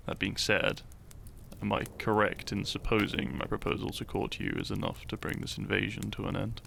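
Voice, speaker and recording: A man playing a shy, tender young hero in love in a romantic audio roleplay, speaking gently and intimately. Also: lowish voice, steady tone, vocal fry, slight background noise, heavily acted. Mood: tender, earnest. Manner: slow, gentle, intimate, halting.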